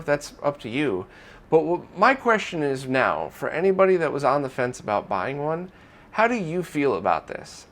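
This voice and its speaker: Casual, candid man hosting tech news. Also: medium pitch. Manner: conversational, candid, opinionated. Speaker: host of a retro gaming news roundup.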